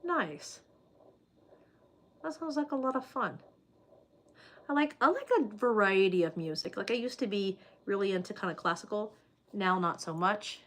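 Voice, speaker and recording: A woman's voice: mid-range voice, lively intonation, moderate pace, pauses often, a bit bright. A woman hosting a casual livestream, chatting loosely with viewers and reading their comments, with plenty of "like" and "um" fillers.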